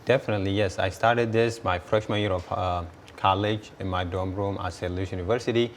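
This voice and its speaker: Deep-voiced young man. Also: steady tone, a little fast, slight background noise. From Ethiopia. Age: 20s. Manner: earnest, repeats words when restarting. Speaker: guest entrepreneur. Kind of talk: broadcast interview answers.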